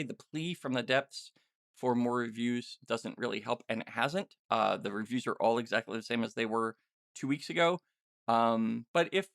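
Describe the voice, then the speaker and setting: A man's voice: medium pitch, creaky, fairly bright tone, no echo, clean studio sound. A man hosting a podcast in a casual, conversational way, often stopping and restarting as he thinks aloud. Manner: halting, self-interrupting, thinking aloud. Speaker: podcast host and interviewer.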